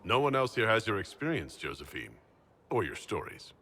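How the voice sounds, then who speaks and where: A man playing a calm, considerate leader in a video game, with a lightly wry manner. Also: fairly low pitch, moderate pace, long reverb tail, slight background noise, exaggerated voice. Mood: gently amused. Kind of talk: video game character dialogue.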